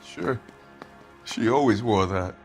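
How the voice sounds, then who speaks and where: An actor playing a defensive, hot-tempered husband under police questioning in a crime video game, answering bluntly. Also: male voice, lowish voice, takes it slowly, creaky, music in the background. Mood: wary, subdued. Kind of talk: acted dramatic video game dialogue.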